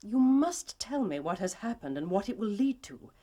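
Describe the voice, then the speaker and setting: A young English noblewoman in a period drama. Her mid-pitched, upper-class voice moves expressively, formal and proud, with anxious emphasis underneath. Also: very animated, normal speed, dark tone. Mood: urgent, pleading. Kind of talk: British period drama acting.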